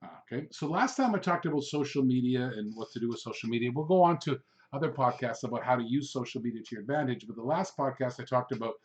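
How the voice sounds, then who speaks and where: A man whose first language is Hungarian hosts a solo podcast, giving loose, rambling coaching talk with frequent "um" and "all right?" checks. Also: mid-range voice. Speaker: podcast host, real estate coach. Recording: dull lo-fi recording.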